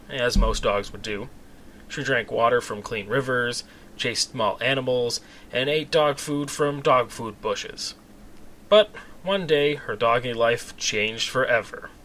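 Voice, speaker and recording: A man tells a comic story with a bright, clear-edged tone. He hosts it conversationally, slipping wry asides into the scripted narration. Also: medium pitch, slight background noise. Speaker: storyteller, host of a story series.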